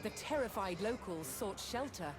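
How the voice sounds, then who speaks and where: A woman reading scripted historical documentary narration in a measured, dramatic storytelling manner. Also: medium pitch, expressive. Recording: loud music under the voice. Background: loud background music.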